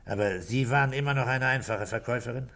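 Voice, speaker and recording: An actor playing a calm, middle-aged police inspector in a radio drama, questioning in a measured, dry way. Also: male voice, mid-range voice, gravelly. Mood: probing. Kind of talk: 1960s German radio drama acting.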